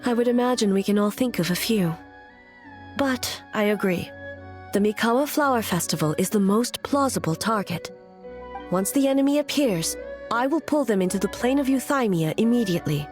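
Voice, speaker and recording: A woman voicing a solemn, protective female shogun in a video game, formal, grave and measured. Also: on the high side, lively intonation, darkish tone, lightly acted. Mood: resolute. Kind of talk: scripted video game voice acting. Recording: music behind the voice.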